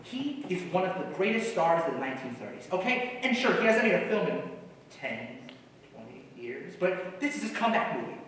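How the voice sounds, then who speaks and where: A man acting an excitable, brash and optimistic screenwriter in a comic stage play, with an animated, emphatic delivery. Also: high-pitched, fairly quick. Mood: confident, persuasive. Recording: echoey hall, distant mic, faint noise.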